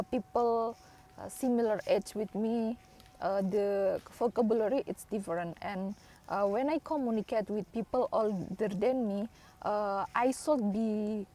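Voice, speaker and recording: A young woman from East Java speaking English with an Indonesian accent, Javanese her first language, in a hesitant personal vlog full of pauses and fillers. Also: medium pitch, warm tone. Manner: hesitant, many uh fillers, non-native grammar. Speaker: English learner.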